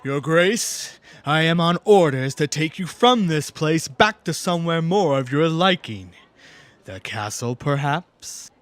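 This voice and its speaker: A man acting in an audio drama as a young hero posing as a pompous high priest, blustering and stumbling over his words. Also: animated, measured pace. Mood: courtly, playful.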